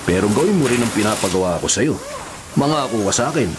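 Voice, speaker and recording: An actor playing a big, steady male cell leader who speaks calmly and with authority in a radio drama. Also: fairly low pitch, fast talker, noisy background, lightly acted. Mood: persuasive. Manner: calm, firm, authoritative. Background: music.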